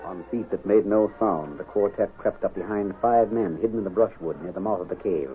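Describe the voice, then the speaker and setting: A man narrating an old-time radio western in a measured, dramatic, suspenseful style. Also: lowish voice, close to an everyday voice. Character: dramatic, suspenseful male storyteller of western adventure. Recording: tinny old radio with music behind.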